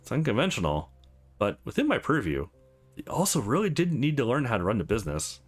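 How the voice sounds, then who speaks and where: Man playing shrewd old lawyer, formal and measured. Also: medium pitch, huge pitch swings, husky, faint music behind. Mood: measured, defensive.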